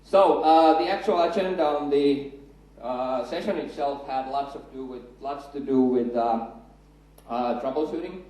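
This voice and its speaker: A Finnish-accented man in his thirties speaks from a stage in a large hall, joking and building up to his punchlines. His voice is mellow and dark. The sound is heavily echoey and comes from some distance from the mic. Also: mid-range voice, a little slow. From Finland. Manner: chatty, self-deprecating, builds up to punchlines. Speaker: conference speaker. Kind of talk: conference talk with jokes. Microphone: handheld mic.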